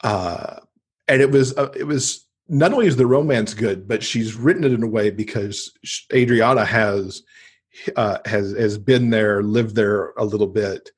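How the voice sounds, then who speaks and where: A man with an American accent and a fairly low, slightly husky voice talks as a podcast guest. He chats casually and enthusiastically, like a keen reader sharing favorites. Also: moderate pace. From United States. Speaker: podcast guest, book lover. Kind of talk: casual interview chat, recommending books.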